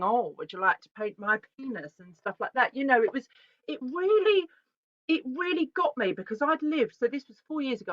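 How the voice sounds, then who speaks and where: A woman, giving a chatty, animated presentation that often breaks off and restarts itself. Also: high-pitched, no room sound. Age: 40s. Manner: chatty, animated, self-interrupting. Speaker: artist giving a talk. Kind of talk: casual conference presentation. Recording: dull, lo-fi video call audio.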